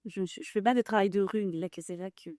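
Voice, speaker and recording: A woman from a charity speaks on a panel, explaining in a measured way with the odd filler. Her voice is mellow and smooth, recorded dry. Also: medium pitch, no room sound. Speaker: financial manager of a charity. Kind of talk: panel discussion.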